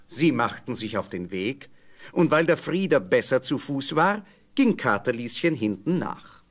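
A man's voice: mid-range voice, sing-song, measured pace. A man narrating a scripted fairy tale as a storyteller.

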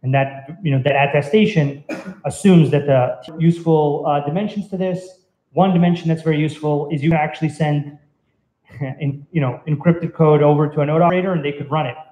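A man gives an informal technical presentation from the stage of an echoey venue. His voice is soft-edged and mellow, a little higher than average. He explains things conversationally, with a hesitant, halting delivery. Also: fairly even intonation. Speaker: conference speaker. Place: indoor meetup venue, on stage by projection screen. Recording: roomy, echoey event venue.

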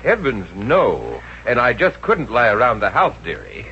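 Dim flirtatious wisecracker, extremely swooping intonation, hammy wheedling, vintage radio comedy, hissy dull recording. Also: male voice, mid-range voice, huge pitch swings, unhurried, bright, forceful tone, exaggerated voice. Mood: playfully coy. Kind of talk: 1950s radio comedy acting.

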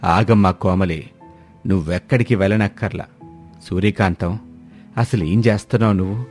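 A man voicing a stern, dignified elderly father-in-law who speaks with slow, weighty admonishment in an audio family story. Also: deep voice, a little mellow, faint music behind, voice pushed down, natural voice. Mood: stern, admonishing.

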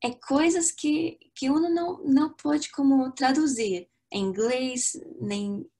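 A woman's voice: high voice, slow pace. Upbeat young woman teaching on a video call. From Latvia. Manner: lively, chatty, many fillers and restarts. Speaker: language teacher. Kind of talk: live online language lesson. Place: small room indoors. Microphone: webcam on a video call.

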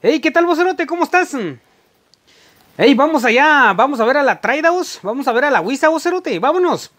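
A man's voice: extremely high pitch, lively intonation, fairly quick, fairly bright tone, pitched above the performer's own voice. A man playing a boisterous Guatemalan buddy, with a Guatemalan accent and a slangy, urging manner, for a vlog. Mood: jovial, eager.

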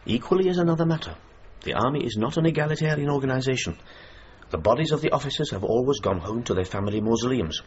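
An actor playing a stern, skeptical senior army commander in a radio drama, clipped, formal and authoritative. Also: male voice, medium pitch, animated, fast, lightly acted. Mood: stern, dismissive. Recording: muffled radio recording with clear noise.

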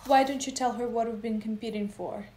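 A woman's voice: fairly high pitch, steady tone, brisk, dark tone, close to an everyday voice. A woman playing a feisty, taunting old race driver in a comedic radio play, with a folksy way of talking. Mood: bickering. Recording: echoey, noisy amateur recording.

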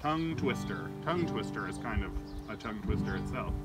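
A man in his thirties hosting storytime for young children outdoors, with an animated, gentle and expressive delivery. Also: lowish voice, fairly quick, loud music behind the voice. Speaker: library storytime host. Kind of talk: read-aloud storytelling and chat for young children. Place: outdoors in a leafy garden. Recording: outdoor background noise.

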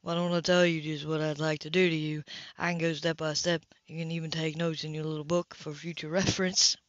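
A woman's voice: low-pitched, level tone, a little fast, no room sound, voice pushed down, close to an everyday voice. Woman reading as a cocky flirting man, muffled sound. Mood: teasing, seductive. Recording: dull lo-fi home recording.

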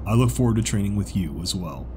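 A man voicing a grave, wise mentor in a fantasy audiobook, speaking in a measured, solemn way. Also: on the low side, lively intonation, over music, lightly acted.

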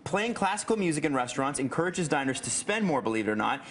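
Fast-talking young man co-hosting. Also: a little high, sing-song, fairly bright tone, a little hiss or hum. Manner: quick, upbeat, joking. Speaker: morning show co-host. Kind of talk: casual segment presenting. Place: TV studio desk with street windows. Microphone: lapel.

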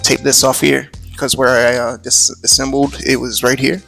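Gravelly American man vlogging, thinking aloud. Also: mid-range voice, flat delivery, moderate pace, bright, forceful tone, soft background music. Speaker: motorcycle vlogger. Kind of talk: casual vlog narration while working.

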